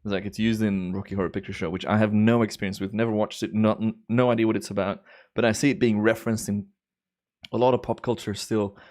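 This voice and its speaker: Belgian guy hosting a chill stream, slightly low voice, studio mic, drawls out "very nice" stuff. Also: little pitch movement, darkish tone. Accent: Belgian, with American and Australian influence. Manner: laid-back, chatty, drawn-out enthusiastic exclamations. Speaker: timelapse livestream host. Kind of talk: relaxed live stream commentary.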